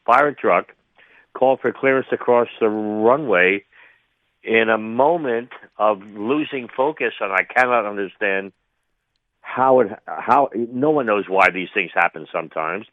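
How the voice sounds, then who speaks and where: A man's voice: on the low side, no room sound. Older man on a tinny phone line. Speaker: retired air traffic controller, interview guest. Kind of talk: spontaneous radio interview commentary. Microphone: phone call to a radio show.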